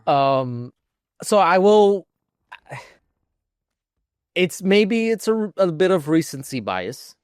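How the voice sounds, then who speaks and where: A man's voice: sits high, very animated, slow pace, pauses often, a little mellow, clear, resonant tone, no echo, studio quality. A man co-hosting a podcast in casual panel chat, rambling along with enthusiasm. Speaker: podcast co-host.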